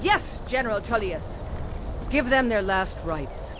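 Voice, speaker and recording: A woman's voice: fairly high pitch, animated, unhurried. An actress playing a stern, impatient female Imperial captain in a fantasy video game, curt and commanding. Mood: stern, dismissive. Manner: curt, commanding, barking orders. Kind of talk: video game character acting. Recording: noisy outdoor game scene ambience.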